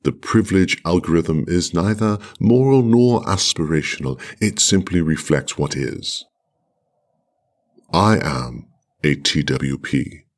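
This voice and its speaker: A man performing a radio play monologue as a solemn, privileged man of the future chosen for sacrifice, speaking in a measured, formal, halting way. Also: deep voice. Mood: detached, formal. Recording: music under the voice.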